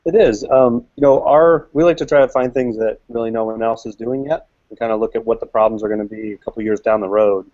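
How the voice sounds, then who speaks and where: A man answering interview questions as a guest expert, relaxed and full of fillers. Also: medium pitch, fast, few pauses. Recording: dull lo-fi webcast audio.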